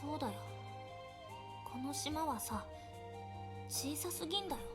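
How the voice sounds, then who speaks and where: A woman voicing a rough-talking, restless tomboy high school girl in a visual novel, with blunt, slangy delivery. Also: lively intonation, brisk. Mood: wistful, determined. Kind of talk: Japanese visual novel voice acting. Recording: game audio with music behind. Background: visual novel music.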